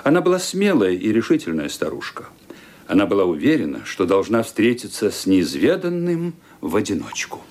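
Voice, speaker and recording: A man narrating a radio mystery play with suspenseful, deliberate phrasing and dramatic emphasis. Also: lowish voice, wildly swooping pitch, darkish tone. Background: music.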